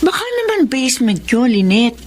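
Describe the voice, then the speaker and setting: Chatty young filipina in radio drama, really lively high voice, noisy recording. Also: female voice, normal speed. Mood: curious. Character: chatty, bantering young city woman, visiting friend.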